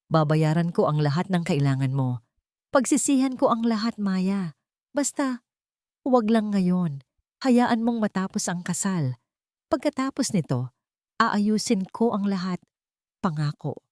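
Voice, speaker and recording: A woman's voice: mid-range voice, animated, darkish tone, no room sound, clean studio sound, close to an everyday voice. Woman voicing a desperate, pleading man. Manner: weak, pleading, halting. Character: desperate, guilt-ridden young man pleading with his ex.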